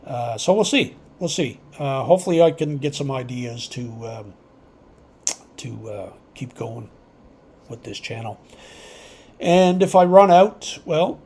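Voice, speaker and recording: A man who hosts an amateur radio channel, talking to his subscribers in a casual, unscripted, halting and reflective way that rambles. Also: mid-range voice. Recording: clear steady background noise.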